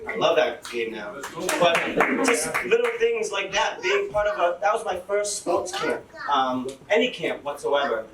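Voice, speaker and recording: A man's voice: sits high, sing-song, unhurried, noisy background. A young man, a teacher, giving a chatty, laughing talk to an audience at a launch event. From Lowell, Massachusetts. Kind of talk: casual speech to an audience. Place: event hall. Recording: echoey hall from far off.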